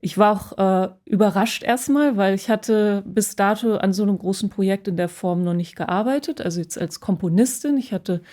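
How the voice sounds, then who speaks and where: A woman's voice: medium pitch, moderate pace, few pauses, warm tone. A woman musician talking casually about her own experiences in an interview, reflective and searching for her words. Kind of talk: casual personal interview talk.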